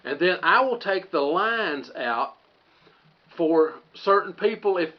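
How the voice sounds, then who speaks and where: A man with a slightly high voice chats to his viewers in a folksy, unhurried ramble, working out his thoughts as he speaks. The recording is a little dull and muffled, like a homemade channel video. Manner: folksy, conversational, thinking aloud. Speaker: host of a homestead channel. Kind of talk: casual rambling vlog talk to viewers.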